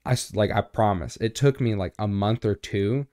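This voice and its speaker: A young man co-hosting a podcast in a small home studio, with a deep, boomy voice and a relaxed, rambling way of talking full of fillers. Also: moderate pace, no echo. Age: young adult. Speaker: podcast co-host. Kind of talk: casual chat. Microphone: studio mic on boom arm.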